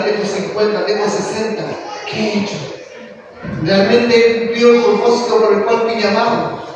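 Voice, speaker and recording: A man's voice: high-pitched, noisy background. A male pastor preaching a sermon in a church, full of rhetorical questions and rising to impassioned calls. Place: church hall. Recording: echoey hall from far off.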